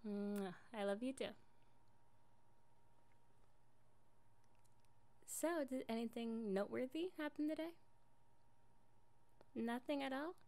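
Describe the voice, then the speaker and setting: A woman's voice: fairly high pitch, moderate pace, a little mellow, natural voice. A young woman playing an affectionate, jealous girlfriend in an improvised ASMR roleplay, casual and coaxing in an intimate way. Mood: affectionate, chatty.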